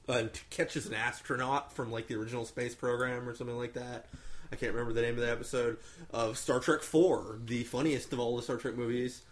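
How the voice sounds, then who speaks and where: Enthusiastic, casually profane man hosting fan chat. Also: mid-range voice. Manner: enthusiastic, emphatic storytelling with acted-out quotes. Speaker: host of a film review discussion.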